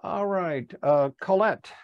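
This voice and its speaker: An older man presenting in an online club session, speaking emphatically and deliberately and repeating phrases for effect. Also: sits high, no room sound, lo-fi sound. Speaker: workshop presenter. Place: indoor room on a video call. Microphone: clip-on lapel mic.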